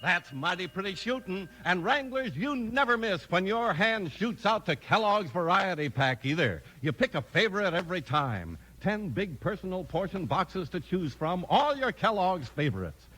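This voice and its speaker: A man's voice: high-pitched, big pitch swings, moderate pace, hardly any pauses, bright, forceful tone. A man announcing and reading sponsor copy in a punchy, emphatic 1950s radio style. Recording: vintage 1950s radio broadcast. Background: music.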